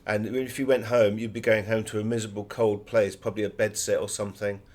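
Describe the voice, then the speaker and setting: Low-pitched man telling anecdotes. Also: little pitch movement, quick pace, a little mellow. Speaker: interviewed author. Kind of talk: conversational interview answers with anecdotes.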